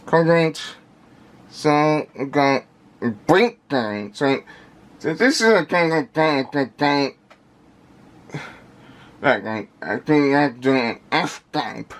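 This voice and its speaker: A man giving casual unscripted product commentary in halting, repetitive fragments, with labored, indistinct articulation from a speech impediment. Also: medium pitch. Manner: halting, repetitive, fragmented phrasing with effortful delivery. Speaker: vlogger unboxing audio gear.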